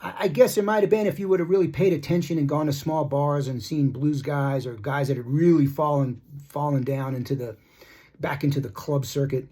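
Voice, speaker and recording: Middle-aged male music commentator, husky voice, off-the-cuff, opinionated and meandering. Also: on the high side, fairly even intonation, warm tone. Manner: rambling, repetitive, halting asides; blunt, critical. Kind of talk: casual opinion monologue.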